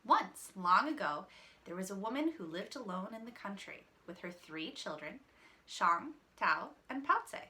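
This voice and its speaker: A woman reads a storybook to children in a bright voice with animated pitch. She is expressive and explains things simply, in the way of a teacher. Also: moderate pace. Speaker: teacher reading a picture book aloud.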